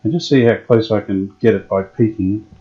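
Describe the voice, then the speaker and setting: A man restoring an old radio, thinking aloud in a halting, casual way with many pauses and fillers. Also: on the low side, slightly rough, dull audio. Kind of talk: hands-on workshop demonstration.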